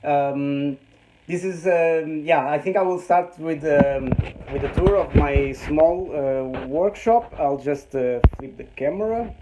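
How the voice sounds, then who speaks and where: A Portuguese man in his thirties, speaking English with many pauses and restarts as he gives a casual tour of his small home workshop. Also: fairly high pitch, mellow. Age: 30s. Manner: hesitant, many fillers and restarts, chuckles often. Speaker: host showing his home print workshop. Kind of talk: casual live-stream tour. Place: small home machine room.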